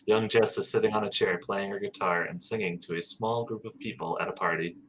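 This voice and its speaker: A man's voice: lowish voice, fairly even intonation, brisk, fairly bright tone, slight background noise, rough recording. A man reading stage directions aloud in a plain, matter-of-fact way for a sitcom table read.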